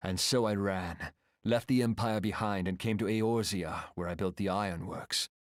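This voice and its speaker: Man acting earnest low-voiced exiled engineer captain. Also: fairly even intonation, no room sound, clean studio sound, lightly acted. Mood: reflective. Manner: earnest, measured, solemn storytelling. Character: proud, reflective exiled engineer airship captain regaining his memory. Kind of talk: dramatic video game voice acting.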